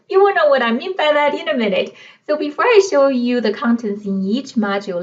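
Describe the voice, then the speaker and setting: Female reviewer, Chinese accent, slightly high pitch; eager, salesy, emphatic delivery. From China. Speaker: online course reviewer. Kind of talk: casual product review to camera.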